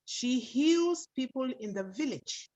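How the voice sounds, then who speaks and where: A woman's voice: sits high, expressive, takes it slowly, darkish tone, muffled recording. A woman from Cameroon who calls in on a video call and earnestly tells a story.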